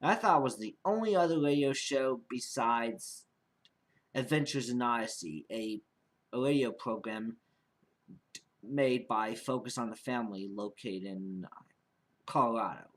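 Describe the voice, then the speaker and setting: A man's voice: mid-range voice, unhurried. A young man giving a casual, unscripted vlog monologue as an amateur podcast creator, rambling and often repeating and restarting his phrases.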